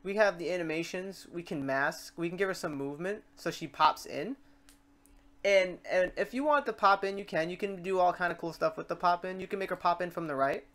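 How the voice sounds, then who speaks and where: A tutorial presenter talking informally through software steps and thinking aloud while working. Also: mid-range voice, a little fast. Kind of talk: casual screen-recorded software tutorial.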